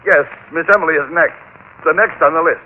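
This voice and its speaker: An actor playing a scheming, cowardly family lawyer, nervous and stammering, in a classic radio drama. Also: male voice, fairly high pitch, a little fast, close to an everyday voice. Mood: fearful confessing. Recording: muffled, noisy vintage radio recording.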